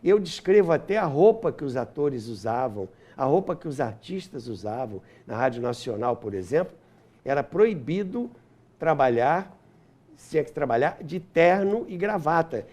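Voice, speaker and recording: Animated older man, higher-pitched Carioca storyteller. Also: moderate pace. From Brazil. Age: 70s. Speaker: interview guest, radio historian and author. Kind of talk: animated anecdotal storytelling in an interview.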